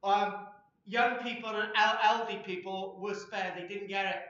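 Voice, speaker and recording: A man's voice: high voice, steady tone, normal speed, fairly bright tone, distant mic. A man in his forties giving a chatty, rambling archaeology talk with slides, full of ums and asides, recorded on a laptop webcam in a small room. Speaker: archaeology presenter. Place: small room with a projector screen. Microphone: laptop webcam below him. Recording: echoey room, dull lo-fi laptop audio.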